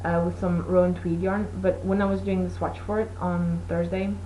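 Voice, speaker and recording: A woman's voice: fairly low pitch, level tone, normal speed, mellow, slight background noise, rough recording. A woman whose first language is Portuguese, hosting a knitting show in a casual, rambling chat with many fillers. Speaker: knitting podcast host.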